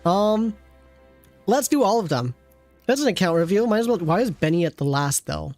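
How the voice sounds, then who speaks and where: A game streamer with a middle-pitched voice talks casually to chat, rambling from one thought to the next and teasing along the way. Faint music plays behind the voice. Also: animated, normal speed, a little mellow. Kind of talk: casual livestream game commentary.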